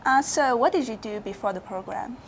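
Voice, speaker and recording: Casting: woman, 20s, Chinese accent, slightly high voice, hesitant casual student interviewer, some background noise. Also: brisk. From Guangzhou, China.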